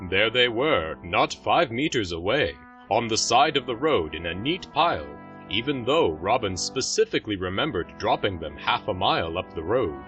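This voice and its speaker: A man's voice: fairly low pitch, very animated, moderate pace, fairly bright tone, distant mic, lo-fi sound, faint music behind. A man giving scripted, dramatic narration for a fantasy story.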